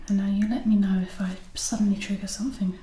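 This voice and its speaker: A woman speaking gently and carefully in a soft, whispery ASMR voice, as a clinician examining a patient. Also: mid-range voice, level tone, quick pace. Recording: echoey room with faint noise.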